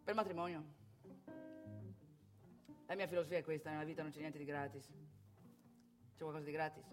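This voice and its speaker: A woman's voice: deep voice, fairly even intonation, normal speed, faint music behind, close to an everyday voice. A woman playing a brash, bitter, boastful womanising divorced salesman in a theatrical monologue, with colloquial, crude, volatile delivery and rhetorical questions. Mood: bitter, cynical. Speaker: stage monologue performer. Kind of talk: theatrical monologue addressed to audience.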